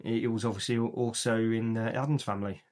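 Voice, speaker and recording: A man's voice: lowish voice, steady tone, fast, a little mellow. A man co-hosting a casual film chat, opinionated and rambling, with lots of fillers and restarts. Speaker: podcast co-host.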